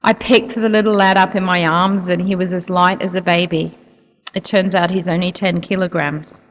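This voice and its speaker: A woman speaks to a church audience, earnest and soft-spoken, with the occasional um. Her voice sits a little low for a woman. It carries the long reverb of a big hall. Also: little pitch movement, normal speed, highs cut off. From New Zealand. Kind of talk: church talk telling a personal story.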